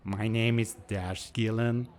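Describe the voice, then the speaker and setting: A man's voice: lowish voice, steady tone, slow, darkish tone. A man voicing a hapless, bewildered teenage student in a comic dub, hesitant and exasperated, on a noisy home microphone. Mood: weary. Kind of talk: animated comic-dub voice acting.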